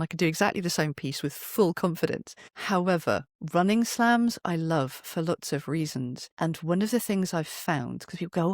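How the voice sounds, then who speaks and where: A woman's voice: on the low side, dry recording, clean studio sound. A woman in her fifties talking conversationally as an interview guest, articulate and chatty, often acting out quoted remarks. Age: 50s. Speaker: interview guest, performer and poet.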